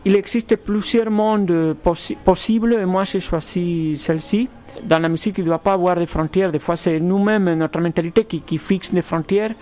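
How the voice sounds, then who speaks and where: A man from Argentina with a fairly high voice speaks as a composer in an interview. He picks his words slowly, with false starts and repeated words. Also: steady tone, mellow. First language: Spanish. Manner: thoughtful, halting, many restarts. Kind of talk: radio interview.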